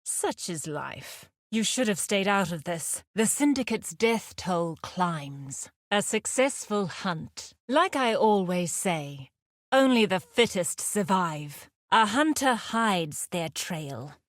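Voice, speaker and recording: A woman playing a fierce, guarded huntress in a video game, speaking in a deliberate, terse and solemn way. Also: medium pitch, lively intonation, no echo, clean studio sound. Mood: grim, proud. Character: fierce, guarded huntress outlaw, wry nature lover. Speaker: video game character voice actor. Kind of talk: scripted game character lines.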